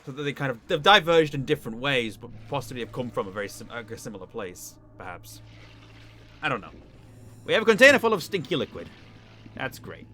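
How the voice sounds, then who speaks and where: A man's voice: mid-range voice, sing-song, brisk, sharp tone, slight background noise. A man casually commenting while he plays a game, with wry, offhand remarks. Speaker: streamer.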